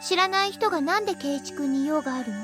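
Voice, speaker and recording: A woman's voice: high-pitched, racing, close to an everyday voice. A woman voicing an eerily menacing teenage girl, sweet on the surface, with pointed, controlled questioning in a Japanese visual novel. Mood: accusing, cold. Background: game music.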